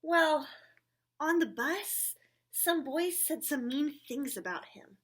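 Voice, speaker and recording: A woman's voice: high-pitched, lively intonation, takes it slowly, a bit bright, pitched above the performer's own voice, full character voice. A woman reading the part of a thoughtful, earnest young schoolboy in a children's storybook, explaining things eagerly. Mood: sad, hesitant.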